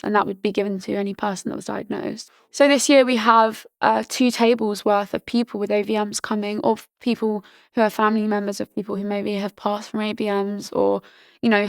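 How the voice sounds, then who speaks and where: A woman in her early twenties from Britain, chatting casually as a podcast guest, full of "like" fillers and restarts, her voice slightly hoarse. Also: medium pitch, no echo. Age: early 20s. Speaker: podcast guest, charity founder. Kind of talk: casual interview chat.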